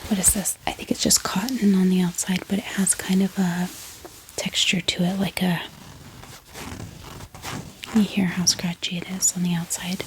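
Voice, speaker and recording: A woman whispering in a breathy, unhurried and gentle manner as she shows items for an ASMR video. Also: fairly low pitch, level tone, background noise. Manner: unhurried, gentle, repeats words softly. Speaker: book haul video host. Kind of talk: whispered ASMR product showcase.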